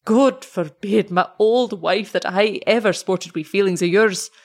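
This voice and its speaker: A woman voicing an excitable, tender old shepherd in a story, with a Scottish accent and broad, exclamatory dialect speech. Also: medium pitch, measured pace.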